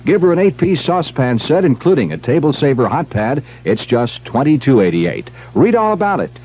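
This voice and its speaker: On a dull old radio recording, a man reads an advertisement. His husky, mid-pitched voice has lively intonation and a scripted announcer's delivery. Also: fairly quick.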